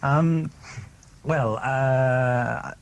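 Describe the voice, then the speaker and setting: A man's voice: medium pitch, extremely slow. Rambling man with faint background noise. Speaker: voice actor being interviewed. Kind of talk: casual interview chat.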